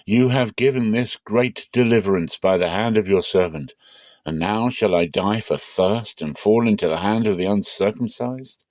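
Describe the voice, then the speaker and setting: A man playing a defiant, mighty warrior in a scripture reading, forceful and declamatory. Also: fairly low pitch, normal speed, no echo, pitched above the performer's own voice, lightly acted. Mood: proud, declaiming. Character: defiant, mighty warrior strongman of ancient Israel.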